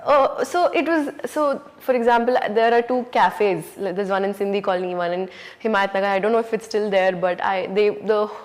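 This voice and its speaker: A woman's voice: medium pitch, moderate pace, few pauses. Reflective Indian English woman, echoey hall. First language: Urdu. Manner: reflective, frequent "you know" and "um". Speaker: actress, interview guest. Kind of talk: candid conversational interview answers. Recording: hall reverb.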